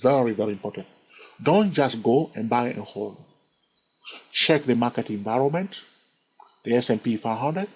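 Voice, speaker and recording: Husky, animated male trading teacher. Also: medium pitch, normal speed, long pauses. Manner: animated, keeps asking the listener "yes or no?" and "okay?". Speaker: trading instructor. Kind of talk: screen-recorded chart lesson. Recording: dull lo-fi recording.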